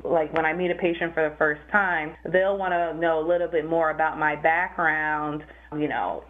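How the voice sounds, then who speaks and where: A young adult woman, a physical therapist, answering interview questions in a measured, explanatory way. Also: sits low. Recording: phone-like narrow sound.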